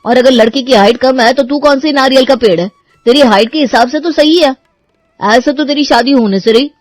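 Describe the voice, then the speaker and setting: A woman's voice: sits high, a little fast, no echo, faint music behind, natural voice. A woman voicing a dutiful, exasperated young brother in a cartoon story. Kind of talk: Hindi cartoon story voice acting.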